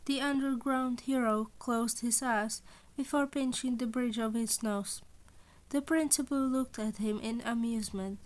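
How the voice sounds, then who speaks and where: A woman's voice: on the high side, steady tone, a little slow, rough recording. A woman reading a story aloud as an audiobook narrator.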